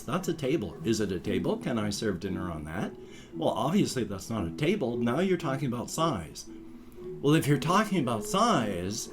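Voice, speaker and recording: A middle-aged man vlogging in a rambling, casual monologue, restarting phrases and reasoning out loud to his viewers. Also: lowish voice. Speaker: vlogger, channel host.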